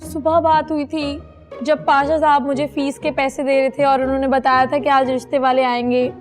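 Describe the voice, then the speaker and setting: A woman's voice: high-pitched, flat delivery, normal speed, faint music behind, natural voice. An actress playing a feisty, defiant young woman in a comedy drama, protesting and pleading dramatically. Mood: indignant. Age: young adult. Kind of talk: Urdu TV comedy drama acting.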